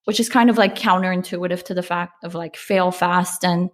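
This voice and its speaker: A woman's voice: fairly low pitch, fairly even intonation, moderate pace. A woman from Poland, a startup founder, talking reflectively in a casual interview with frequent restarts and fillers.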